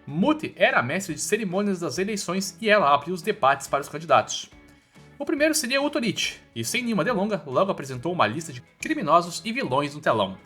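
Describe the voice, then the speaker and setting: A man's voice: high voice, big pitch swings, fast, fairly bright tone, breathy edge. A man with a Brazilian accent reads a scripted story recap in an informal, slangy storytelling way. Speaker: recap narrator. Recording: voice-over with background music. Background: music.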